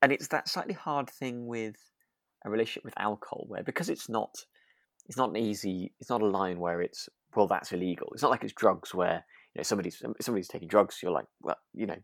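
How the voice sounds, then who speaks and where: Very low, creaky podcast co-host. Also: fast, a bit bright, dry recording, clean studio sound. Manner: tentative, thoughtful, hesitant phrasing. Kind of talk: relaxed discussion of a radio drama.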